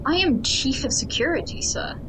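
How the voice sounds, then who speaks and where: A woman's voice: high voice, expressive, moderate pace, background noise. A woman playing a wary, intense chief of security on a space station in an audio drama, formal and insistent. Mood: urgent, wary. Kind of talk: science-fiction audio drama acting.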